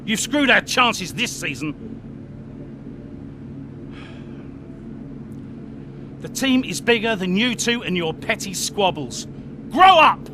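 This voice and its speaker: A man's voice: high voice, big pitch swings, moderate pace, noisy background. An actor playing a furious team principal who berates his drivers in a video game cutscene. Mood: threatening. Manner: scolding, harsh, commanding. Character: furious male team principal, stern and domineering. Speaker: racing team boss.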